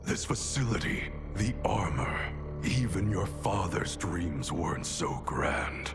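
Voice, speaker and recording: A man's voice: very animated, exaggerated voice. An actor playing a stern, ruthless male clan grandmaster who speaks in a cold, commanding way. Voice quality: gravelly, low and measured. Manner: cold, authoritative. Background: cinematic music.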